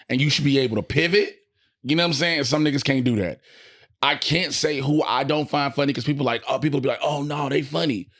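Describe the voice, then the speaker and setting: An American man with a medium-pitched voice talks in loose podcast banter. He is animated and profane, leaning on slang as he makes his points like a working comic. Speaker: comedian and podcast co-host.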